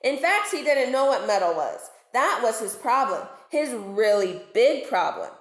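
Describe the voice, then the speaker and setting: Female storyteller, teacherly, deliberate slow delivery, fairly high pitch, dramatic emphasis, children's read-aloud. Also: big pitch swings. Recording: roomy, echoing home recording.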